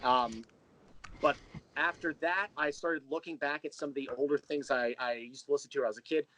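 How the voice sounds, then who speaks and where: A man's voice: on the high side, steady tone, brisk, bright, forceful tone, low-quality recording. An American man being interviewed, telling personal anecdotes in a wry, wordy, informal way. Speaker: interview guest, audio drama creator. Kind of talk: casual chat, personal anecdotes.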